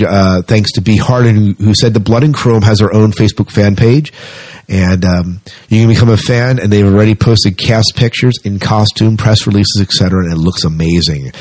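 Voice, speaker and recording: A male podcast host with a fairly low, husky voice. He chats casually through news items in a conversational, rambling way. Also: a little mellow. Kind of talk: casual sci-fi news chat.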